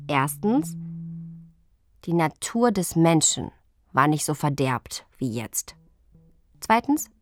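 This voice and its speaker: Young princess, childlike emphatic recitation, earnest and playful, slightly low female voice, radio play, faint music. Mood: earnest reciting. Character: young princess Luise, girlish, earnest, playful letter writer. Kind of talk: radio drama reading of notebooks and letters.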